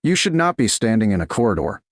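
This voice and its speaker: A man voicing a calm, gentle woman living as a servant in a romance audiobook, speaking softly and with composure. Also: fairly low pitch, no echo, studio quality. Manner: soft, composed, measured. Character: calm, gentle woman, duke's lost love turned servant.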